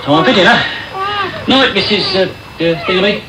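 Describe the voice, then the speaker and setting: A callous, dismissive parish surgeon in a radio play. He is brusque and impatient, with lively rises and falls. The medium-wave recording is heavily noisy. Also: high-pitched, moderate pace. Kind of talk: naturalistic radio drama acting.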